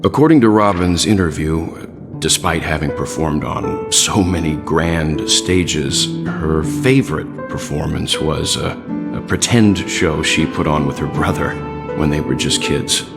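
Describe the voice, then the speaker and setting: An actor playing a composed, courteous gentleman investigator in a video game, speaking in a measured, deliberate way. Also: extremely low pitch, gravelly, long reverb tail, loud music behind the voice, exaggerated voice. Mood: pensive.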